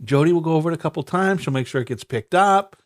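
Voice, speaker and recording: Stuffy podcast guy, bit high voiced, swears a lot, stumbles and restarts. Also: expressive, fast talker. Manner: profane, rambling with stammered restarts and laughs. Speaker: podcast co-host. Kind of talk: casual banter.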